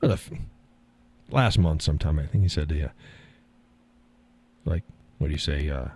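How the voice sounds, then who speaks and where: A man hosting a live call-in stream, talking in a calm, easygoing way and chuckling often. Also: very deep voice, normal speed, husky. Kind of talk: casual chat.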